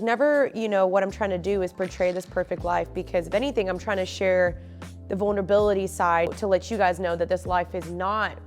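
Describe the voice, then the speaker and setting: Stuffy-nosed young woman vlogging casually. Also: medium pitch. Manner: chatty, open, lots of fillers. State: stuffy, sniffly. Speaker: faith and lifestyle vlogger. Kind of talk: casual chat to camera. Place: living room at home.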